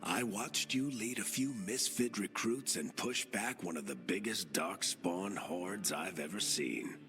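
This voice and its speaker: A seasoned dwarf leader in a fantasy game. He is deep-voiced and swings widely in intonation, wry and fatherly like an old storyteller, over clear background music. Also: expressive, a little slow, fairly bright tone, exaggerated voice. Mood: wry encouragement. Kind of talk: video game cutscene acting.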